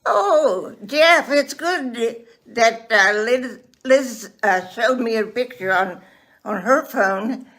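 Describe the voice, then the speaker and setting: Really old lady, like ninety, slow and halting, kinda high voice, handing out blessings. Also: expressive, fairly bright tone. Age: 90s. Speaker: guest. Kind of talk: casual chat giving blessings.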